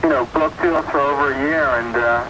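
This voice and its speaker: Man, radio caller, casual, challenging, high voice, distant, noisy recording. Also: brisk. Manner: challenging, skeptical. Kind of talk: casual call-in chat.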